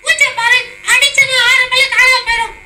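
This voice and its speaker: A woman voicing a little girl puppet who has lost her hen and scolds the boy in a squeaky voice, in an echoey hall. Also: flat delivery, moderate pace. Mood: scolding. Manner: scolding, squeaky, sing-song. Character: girl Rosie, upset chicken owner. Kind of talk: children's puppet show. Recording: echoey hall, far mic, loud noise.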